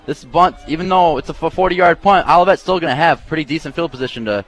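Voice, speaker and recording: A man's voice: fairly high pitch, fast talker. An American man giving casual, conversational color commentary and analysis on a live football radio broadcast. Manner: casual, explanatory.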